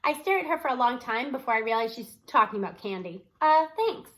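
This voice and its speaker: High-voiced woman reading a story aloud. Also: animated, quick pace, a bit bright. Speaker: read-aloud narrator. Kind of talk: expressive children's novel read-aloud, first person.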